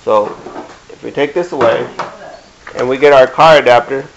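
A middle-aged man giving a casual hands-on demonstration in a halting, plain-spoken way. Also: medium pitch, creaky. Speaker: DIY video maker. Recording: dull lo-fi camera sound with hiss.

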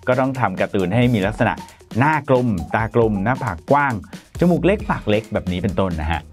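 A man in his thirties explains a topic straight to camera, his pitch rising and falling with lively swings. He keeps a polite, chatty tone, recorded close on a lapel mic in a studio with soft music faintly underneath. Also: a little fast. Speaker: presenter. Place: bright studio in front of a plain grey backdrop.

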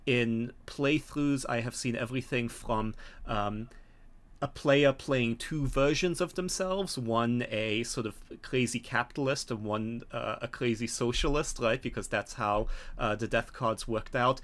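A man's voice: mid-range voice, a bit bright. Middle-aged man explaining as a host. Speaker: host and scenario author. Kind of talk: unscripted discussion of a tabletop game scenario. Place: home room on a video call.

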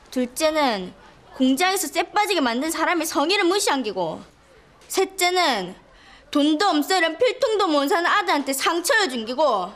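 A woman's voice: high-pitched, a bit bright. An actress playing a feisty, outspoken country schoolgirl with a Gyeongsang Korean accent in a children's drama. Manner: blunt, scolding, defiant.

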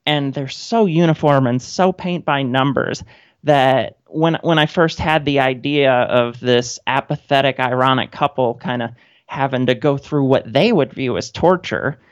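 A woman's voice: very deep voice, unhurried, no echo. Woman, thirties, wry casual interview guest, dry close sound, slightly muffled audio. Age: 30s. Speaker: radio guest, playwright. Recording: dull, band-limited audio.

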